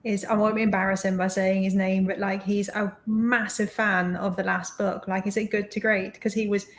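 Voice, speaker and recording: A woman's voice: medium pitch, little pitch movement, moderate pace, slight background noise. A young woman co-host, formerly a science teacher, chatting casually. She describes herself as an introvert and talks with many fillers. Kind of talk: casual chat.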